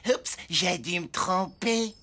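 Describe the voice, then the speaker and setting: A woman voicing a cheeky, lazy, scheming schoolboy in a French cartoon dub, slangy and excitable. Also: fairly low pitch, slow pace, a little hiss or hum, heavily acted. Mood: sly, casual.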